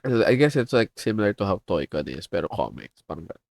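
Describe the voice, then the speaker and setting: A man's voice: sits low, big pitch swings, quick pace, vocal fry, no room sound, studio quality. A man with a Filipino accent, chatting casually on a livestream as a comic artist and rambling as he switches between English and Tagalog. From Philippines.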